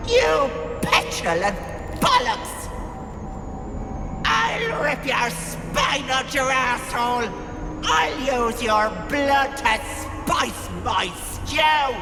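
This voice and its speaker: A snarling old hag, wildly swooping. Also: female voice, high-pitched, very animated, extremely slow, gravelly, full character voice. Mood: furious. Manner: menacing, gloating, shouting. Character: vicious, monstrous old female hag. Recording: echoey cavern reverb under loud music.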